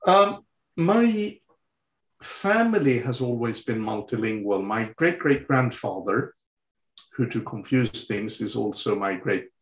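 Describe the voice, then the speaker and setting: A man's voice: fairly low pitch, vocal fry. A man in his sixties, raised speaking French, answering interview questions in a hesitant way with many fillers and restarts. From Sweden. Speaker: guest. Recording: roomy echo.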